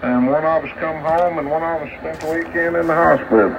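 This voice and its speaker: A man's voice: fairly high pitch, steady tone, brisk, vocal fry. A man telling a story in casual chat as an amateur radio operator, heard over a two-way radio. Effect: two-way radio transmission. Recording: muffled, noisy shortwave radio reception.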